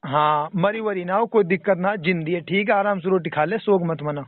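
A man with a Mewati accent talks in a high-pitched voice on a dull, dry phone recording. He is exasperated and emphatic, in a casual, chatty way. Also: normal speed, no room sound. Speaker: phone call participant. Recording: band-limited phone call recording.

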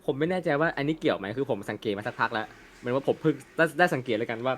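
A young man talks fast and bluntly, swearing freely in a casual chat. His voice sits in a middle pitch, and faint room noise hangs behind it. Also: lively intonation. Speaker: co-host. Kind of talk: casual chat about a film.

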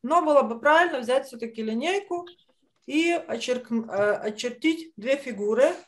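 A middle-aged woman teaching children drawing step by step over a video call, explaining patiently and haltingly. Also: sits high, animated. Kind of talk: step-by-step online lesson instruction. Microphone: computer mic on video call.

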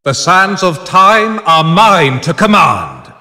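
An actor playing an imperious, solemn god of time in a fantasy video game, declaiming in a grand, measured, theatrical way. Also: male voice, high-pitched, very animated, pushed and bright, heavily acted. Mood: imperious, commanding. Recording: long reverberant hall effect.

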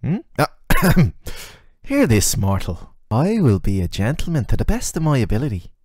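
A man's voice: medium pitch, wildly swooping pitch, normal speed, darkish tone, no echo, studio quality. A man voicing a flirty, sardonic, ageless male demon who teases the listener in casual, slangy, intimate roleplay audio. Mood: playful, flirty.

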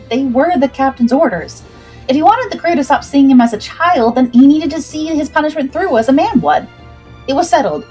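A woman's voice: fairly high pitch, darkish tone. A woman narrating a scripted adventure story expressively as the host of a livestream.

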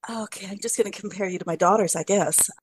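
An older woman hosting a podcast, telling anecdotes in a thoughtful, measured way. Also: medium pitch, slightly rough. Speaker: podcast host. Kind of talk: casual conversation.

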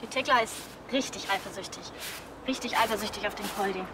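An actress playing a matter-of-fact, gossipy stable worker in a TV crime comedy. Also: female voice, medium pitch, fast, noisy background, close to an everyday voice. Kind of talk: television crime comedy acting.

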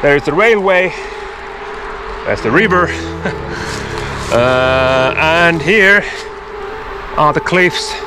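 Slow-talking man vlogging outdoors at arm's length. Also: fairly high pitch, expressive, noisy background. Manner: conversational storytelling with fillers, spooky asides. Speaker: hiking vlogger. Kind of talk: outdoor vlog talking to camera. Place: outdoors in woods by a road and railway. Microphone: handheld camera at arm's length.

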